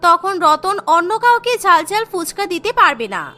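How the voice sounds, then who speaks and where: Female cartoon story narrator, very high bright voice, scripted delivery. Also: sing-song, normal speed, soft background music.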